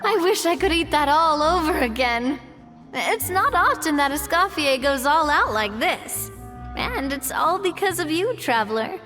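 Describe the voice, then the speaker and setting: A woman voicing a friendly, upbeat young hostess in a video game, with expressive delivery. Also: high-pitched, a little slow, in a large hall, soft background music. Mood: wistful, grateful. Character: bright, friendly young woman, generous feast-giving hostess.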